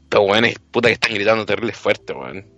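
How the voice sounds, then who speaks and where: A man's voice: lowish voice, animated, fast. A man voicing a lecherous, foul-mouthed young thug fresh from prison, with slangy Chilean speech, in an improvised comedy radio play. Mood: sleazy.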